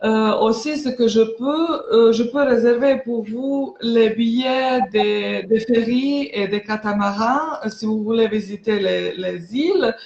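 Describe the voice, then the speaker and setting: A woman's voice: on the high side, unhurried, gravelly, hardly any pauses, darkish tone. A woman from Croatia, a travel agency owner introducing herself in an interview, hesitating often with filler sounds. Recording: echoey, muffled sound.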